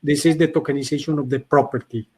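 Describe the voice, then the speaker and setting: A man's voice: on the high side, fairly even intonation, fast talker, mellow, slightly rough. A man answering a question on an online panel, explaining at length and repeating words as he restarts. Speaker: company representative answering questions.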